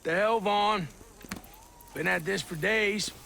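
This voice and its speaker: An actor playing a brash, crude mercenary in a video game cutscene, gravelly and threatening. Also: male voice, sits high, slow, bright, forceful tone, slight background noise, heavily acted. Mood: taunting. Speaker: mercenary contractor.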